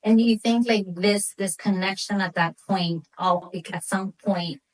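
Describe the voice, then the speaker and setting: A woman's voice: mid-range voice, moderate pace, distant mic, low-quality recording. A woman hosting a casual interview, effusive and encouraging as she puts questions to her guest. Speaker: interview host.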